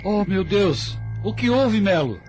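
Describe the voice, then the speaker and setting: A man's voice: sits high, expressive, slow pace, darkish tone, faint music behind. A man playing a worried, protective middle-aged father in a radio soap opera. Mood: alarmed.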